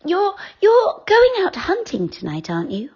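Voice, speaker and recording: A woman's voice: extremely high pitch, huge pitch swings, normal speed, a little mellow, dull audio, pitched above the performer's own voice, full character voice. Woman doing a sweet-voiced fairy girl. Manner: sweet, coaxing.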